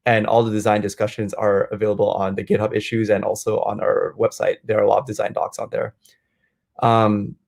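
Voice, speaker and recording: A man from Malaysia speaks over a video call with a low, gravelly voice. He presents at an easy pace, leaning on ums and likes as he explains his work. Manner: relaxed, many ums and likes. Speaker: guest speaker, software engineer. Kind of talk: online meetup presentation.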